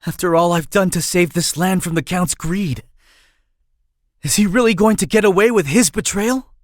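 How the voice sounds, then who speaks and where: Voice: medium pitch, normal speed, no echo, natural voice. An actor voicing a young exiled prince in a video game, pleading and earnest. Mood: desperate, pleading. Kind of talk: dramatic video game voice acting. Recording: music under the voice.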